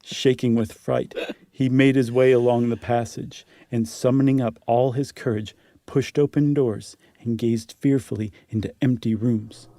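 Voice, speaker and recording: A man's voice: mid-range voice, steady tone, measured pace, dark tone, dry recording, studio quality. A man co-hosting and reading a horror story dramatically, with casual commentary. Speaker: podcast host and story reader. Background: music.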